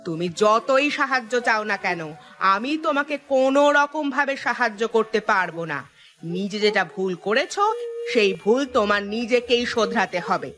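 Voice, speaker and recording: A woman voicing a sharp-tongued village wife in a cartoon, scolding and stern. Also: a little high, moderate pace, music in the background, natural voice. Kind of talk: Bengali cartoon voice acting.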